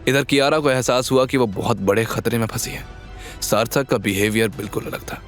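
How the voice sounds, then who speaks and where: A man's voice: medium pitch, steady tone, a little fast, faint music behind. A man narrating a scripted story in a dramatic, suspenseful storytelling manner.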